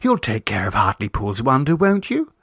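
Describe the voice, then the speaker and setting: A man's voice: mid-range voice, huge pitch swings, normal speed, gravelly, a bit bright, husky, no room sound, clean studio sound, full character voice. A man voicing a chatty, blithely unconcerned teenage girl in a storybook reading, prattling breezily and matter-of-factly. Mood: coaxing, blithe. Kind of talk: storybook character voice.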